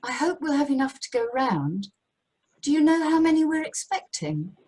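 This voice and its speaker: Woman acting a harried British wife, very lively intonation. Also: sits high, normal speed. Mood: weary, confiding. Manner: harried, conciliatory. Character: put-upon, placating wife of a bossy chairman. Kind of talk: amateur radio play acting.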